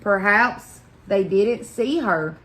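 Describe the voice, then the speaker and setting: A woman reading a children's picture book aloud as a story-time host, deliberate and expressive, pausing for effect. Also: mid-range voice, low-quality recording. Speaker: library story-time reader.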